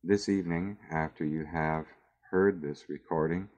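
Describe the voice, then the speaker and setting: Very deep, slow-speaking man guiding meditation. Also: steady tone, a little mellow, lo-fi sound. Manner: measured, soothing delivery with long pauses. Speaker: guided meditation narrator. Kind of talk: scripted guided meditation.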